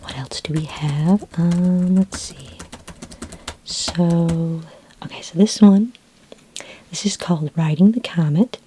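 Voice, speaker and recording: A woman whispering softly in a breathy voice as a gentle, helpful, slightly hesitant librarian in an ASMR roleplay. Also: low voice, no echo. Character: friendly, patient futuristic library librarian. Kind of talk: soft-spoken ASMR roleplay. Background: computer keyboard typing.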